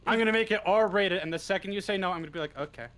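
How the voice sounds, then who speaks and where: A man's voice: high-pitched, rapid-fire, fairly bright tone. A man chatting casually as an interview guest, blunt and informal, swearing freely. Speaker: interview guest, script writer. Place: live online voice stream.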